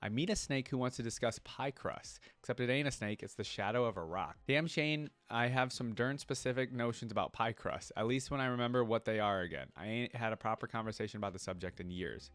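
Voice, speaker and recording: A boastful, cranky little frontier warrior read out by a man tells his own storybook tale. He grumbles in folksy, grandiose phrases. The pitch is middling and the sound is close and dry. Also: fairly quick, few pauses, fairly bright tone, dry recording, close to an everyday voice. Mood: grumbling. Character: cantankerous, boastful small male frontier warrior creature. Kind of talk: first-person story reading.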